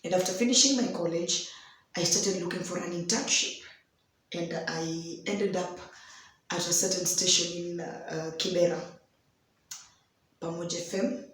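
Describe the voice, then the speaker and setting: A young Kenyan woman with a hoarse voice tells a personal story in an unhurried devotional talk, slipping in Swahili phrases. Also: lowish voice. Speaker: host of a daily devotional. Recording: very echoey room.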